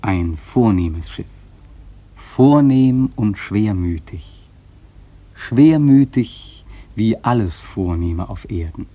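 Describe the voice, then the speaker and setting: An actor playing a thoughtful sailor who recounts his voyage in a radio play, measured and earnest. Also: lowish voice, animated, warm tone, no room sound. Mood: solemn, admiring. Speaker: narrator and protagonist. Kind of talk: literary radio play narration.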